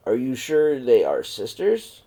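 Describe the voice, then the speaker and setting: A man voicing a warm, gently teasing father from a picture book in an easygoing, affectionate manner. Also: mid-range voice, wildly swooping pitch, measured pace, full character voice. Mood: fond, easygoing.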